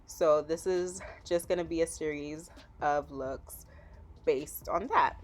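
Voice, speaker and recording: A woman from Trinidad and Tobago, talking through a makeup tutorial as a relaxed, chatty voice-over. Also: deep voice, slow, slight background noise. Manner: chatty, relaxed, with frequent fillers. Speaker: makeup vlogger.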